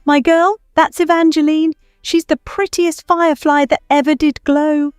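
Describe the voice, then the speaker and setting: A woman voicing a lovestruck, soft-hearted male firefly in a storybook, with a gushing, folksy manner. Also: high voice, flat delivery, a little slow, no echo, clean studio sound, soft background music, pitched above the performer's own voice.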